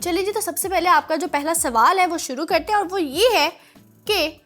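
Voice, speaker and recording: A woman with a Pakistani accent presenting a children's show in a playful, chatty, animated way. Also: very high-pitched, moderate pace. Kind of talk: playful quiz and segment presenting.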